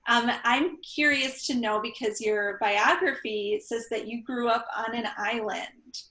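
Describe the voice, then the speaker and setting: High-ish librarian woman, echoey room. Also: unhurried, bright, forceful tone. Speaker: youth services librarian, host. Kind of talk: virtual interview hosting. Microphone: video call.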